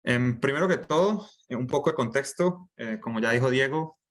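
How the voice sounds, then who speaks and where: A man's voice: medium pitch, moderate pace. A young man with a Colombian accent, speaking as a guest lecturer and doctoral student in an informal online class. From Bucaramanga, Colombia. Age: young adult.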